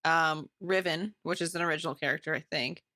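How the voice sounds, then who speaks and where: A woman's voice: deep voice, brisk, bright, forceful tone, dry recording, studio quality. A woman co-hosting a podcast, talking in a casual, chatty book club style. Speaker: podcast co-host.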